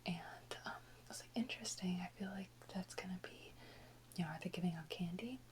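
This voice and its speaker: A woman whispering softly and close to the microphone in an informal, rambling ASMR chat with unhurried pauses. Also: on the low side. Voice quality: soft unvoiced whisper. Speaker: ASMR video creator. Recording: close whisper with faint background noise.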